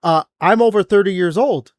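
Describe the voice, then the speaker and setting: A man's voice: high voice, normal speed, no echo. Chatty forty-something man, dry close sound. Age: 40s. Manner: rambling, swears, filler-heavy. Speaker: podcast host. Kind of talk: casual gaming news chat.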